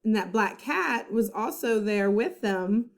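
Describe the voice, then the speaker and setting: A woman's voice: medium pitch, animated, a little slow. A woman first-grade teacher reading a children's book aloud, explaining things simply and checking that listeners follow.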